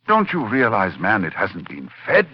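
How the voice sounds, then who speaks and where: A scared scholarly doctor in 40s radio horror, really animated, dramatic, dull old recording. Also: male voice, fairly low pitch, normal speed, slight background noise. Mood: urgent. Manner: dramatic, intense delivery. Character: male scholarly doctor, urgent, dread-filled, narrating horror. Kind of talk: 1940s radio horror acting. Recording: muffled 1940s radio recording, music behind.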